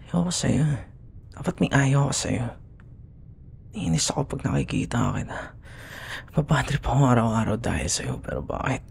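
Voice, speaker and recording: A man with a Filipino accent playing a young, grudging, secretly smitten school rival in an intimate, breathy ASMR roleplay, often dropping into whispers. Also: medium pitch. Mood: confused, smitten. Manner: teasing, grumbling, hesitant. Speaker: audio roleplay voice actor.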